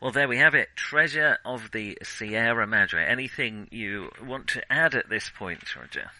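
A man's voice: medium pitch, animated, measured pace, pushed and bright, poor audio. A man co-hosting a podcast, discussing films casually in a hesitant, discursive way that often corrects itself. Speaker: podcast co-host.

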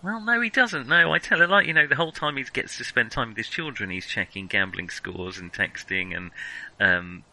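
Bright, forceful man. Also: mid-range voice, normal speed, rough recording. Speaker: film discussion podcast co-host. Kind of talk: casual film criticism chat.

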